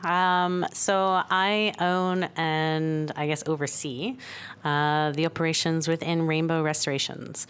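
A woman's voice: low-pitched, little pitch movement, slow, a bit bright, clear, resonant tone. A woman who grew up in Canada and England, a business owner talking plainly as a podcast guest. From Canada, raised in England. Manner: chatty, plainspoken. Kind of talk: casual interview.

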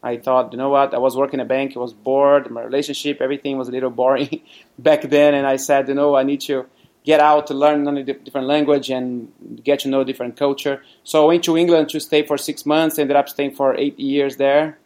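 A man from Brazil with a Brazilian Portuguese accent, telling his story as a guest in a casual interview, chatty and quick to laugh. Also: mid-range voice, flat delivery, a little mellow. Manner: chatty, laughs often, many fillers. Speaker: guest, cafe owner.

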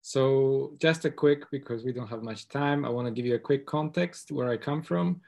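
Man, mid pitch, nervous questioner who stops and restarts a lot. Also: moderate pace, vocal fry, a little mellow. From Poland. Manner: hesitant, many uhs and restarts. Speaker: audience member asking a question.

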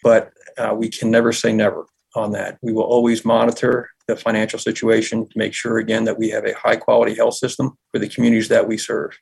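A man's voice: on the low side, flat delivery, a little fast, vocal fry, a little mellow, husky, low-quality recording. A man giving a formal spoken statement as a chief executive. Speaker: health system chief executive.

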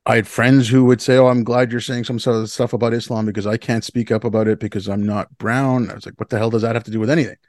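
A man in his fifties from Canada telling his story casually as an interview guest, often restarting his sentences in a halting, conversational way. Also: mid-range voice, fairly even intonation, darkish tone, no room sound. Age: 50s.

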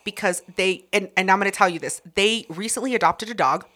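A woman's voice: medium pitch, brisk, a bit bright. A woman co-hosting a podcast, chatty and teasing in casual banter. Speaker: podcast co-host.

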